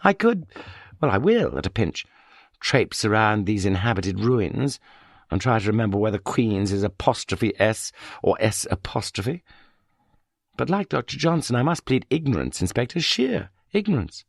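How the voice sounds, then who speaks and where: A man voicing a sharp-tongued, sardonic middle-aged woman academic in an audiobook. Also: lowish voice, sing-song, normal speed, creaky, dry recording, clean studio sound, heavily acted. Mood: dry, wry. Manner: wry, sardonic.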